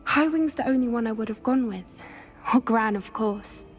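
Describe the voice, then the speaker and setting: A woman voicing a gentle, earnest teenage witch in a video game, sincere and slightly hesitant. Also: a little high, normal speed, faint music behind, lightly acted. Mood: warm, sincere. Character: earnest, gentle teenage schoolgirl at wizard school. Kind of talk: naturalistic video game cutscene acting.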